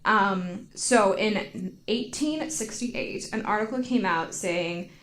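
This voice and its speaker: A woman's voice: medium pitch, takes it slowly, a bit bright, a little hiss or hum, rough recording. An American woman telling a story casually on a podcast, informal and giggly, peppering her talk with "like". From United States.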